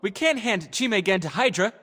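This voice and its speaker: An anxious young teammate from a video game, full of passion. The voice is somewhat high and husky, swinging widely in pitch. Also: male voice, expressive, fairly quick, pushed and bright, full character voice. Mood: urgent. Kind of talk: dramatic video game voice acting.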